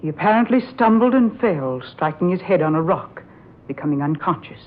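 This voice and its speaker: An actress playing a composed, consoling middle-aged aunt, measured and genteel, in an old-time radio drama. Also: female voice, on the low side, animated, lightly acted. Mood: soothing. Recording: tinny old radio recording, faint hiss.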